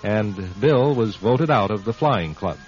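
A man's voice: fairly low pitch, expressive, a little slow. A man hosting and narrating a scripted radio drama in a wry, tongue-in-cheek storytelling manner. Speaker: host and narrator. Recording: dull, muffled radio broadcast recording. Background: music.

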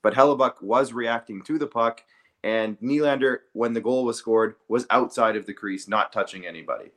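A man's voice: medium pitch, normal speed, a bit bright. A man co-hosting a sports podcast, casually debating and earnestly repeating himself to press his point.

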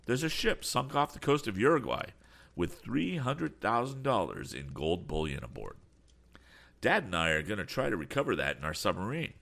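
A man's voice: fairly low pitch, sing-song, moderate pace, creaky, a bit bright, pitched above the performer's own voice, exaggerated voice. A man voicing a frank, determined young inventor in a storybook, explaining things earnestly. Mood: eager, confident. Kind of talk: audiobook character voice.

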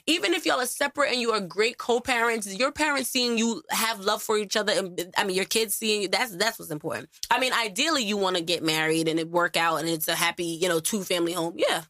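A woman co-hosting a podcast in casual chat, lively and slangy, laughing often. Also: medium pitch, a little fast, few pauses, dry recording, clean studio sound. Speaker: podcast co-host.

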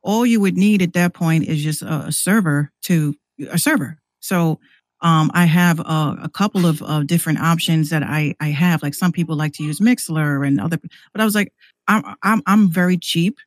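A man's voice: fairly high pitch, moderate pace, dry recording. A man in a casual podcast chat, explaining things informally and often repeating words as he goes. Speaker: podcast guest who runs an online radio station.

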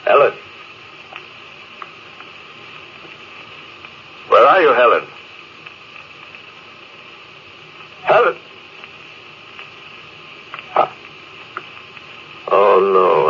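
Stern captain on noisy old radio. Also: male voice, low-pitched, big pitch swings, takes it slowly, vocal fry, long pauses, muffled recording, heavily acted. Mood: anguished. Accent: American. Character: proud, stern, emotionally closed spaceship captain and fleet commander. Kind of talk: classic American radio drama acting.

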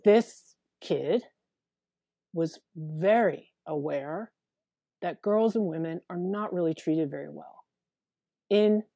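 Deep-voiced woman speaking frankly. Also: takes it slowly, long pauses, dark tone, no room sound. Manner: frank, plain-spoken, with many restarts and fillers. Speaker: therapist, guest. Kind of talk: candid interview talk.

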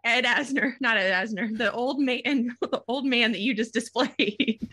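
Female co-host, high and bright, unhurried, playful. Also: slightly rough. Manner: laughing, teasing. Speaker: podcast co-host. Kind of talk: casual comedic chat.

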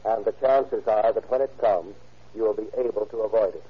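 A man's voice: fairly low pitch, fairly quick, husky. A man playing a police chief, making a solemn, earnest appeal in a 1940s radio address. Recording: muffled old radio.

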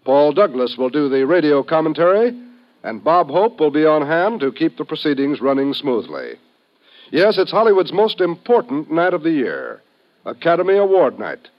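An American man announces in classic 1950s radio style. His voice is fairly high and emphatic, with showy phrasing, on a slightly muffled old recording. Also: very animated, normal speed.

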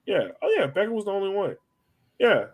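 A man's voice: high voice, wildly swooping pitch, brisk, slightly rough. A man hosting a sports podcast in casual fan chat, thinking aloud a little hesitantly as he goes.

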